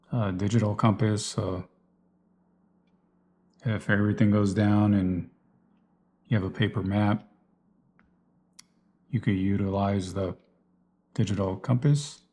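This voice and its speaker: A man's voice: on the low side, fairly even intonation, takes it slowly, pauses often, dark tone. A man giving a casual, unscripted walkthrough of his gear in a plain, explanatory way, like a vlogger. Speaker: vlogger presenting personal radio gear.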